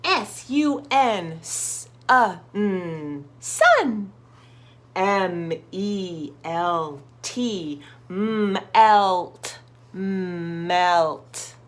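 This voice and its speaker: A woman hosting children's storytime, reading expressively to young listeners and addressing them directly. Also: medium pitch. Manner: slow, expressive, sounds out words and asks listeners questions. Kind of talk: picture book read-aloud for young children.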